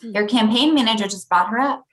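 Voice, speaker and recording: A woman's voice: a little high, brisk, lightly acted. A woman playing a persistent, skeptical thirty-year-old newspaper reporter with a dry, probing manner in a comedy table read. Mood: dry, irritated.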